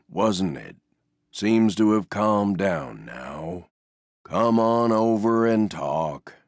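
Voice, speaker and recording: A man voicing a gloomy, resigned, self-effacing stuffed donkey for a video game, with a mournful, deadpan, sighing delivery. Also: deep voice, gravelly, dry recording, studio quality, exaggerated voice. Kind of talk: video game character voice lines.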